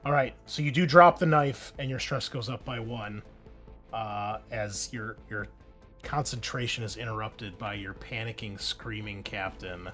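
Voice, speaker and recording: A man's voice: on the low side, unhurried, a bit bright, faint music behind. A man acting as game master of a tabletop roleplaying game, narrating scenes and explaining the rules conversationally.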